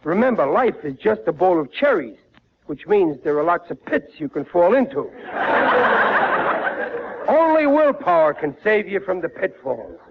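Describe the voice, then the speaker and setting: A man's voice: high-pitched, very animated, moderate pace, slight background noise. An actor playing a good-natured, blustering middle-aged working man with folksy, ungrammatical speech in a dull vintage 1940s radio sitcom recording. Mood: preachy.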